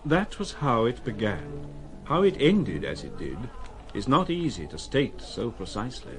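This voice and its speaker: An actor playing a reflective, worldly storyteller, narrating an audio drama from a script. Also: male voice, medium pitch, huge pitch swings, measured pace, faint music behind.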